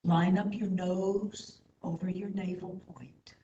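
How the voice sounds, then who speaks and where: Female meditation instructor, very slow and soothing, low-pitched, recorded in an echoey room with slightly dull sound. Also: steady tone, slight background noise. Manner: soothing, unhurried, gentle. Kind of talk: guided meditation.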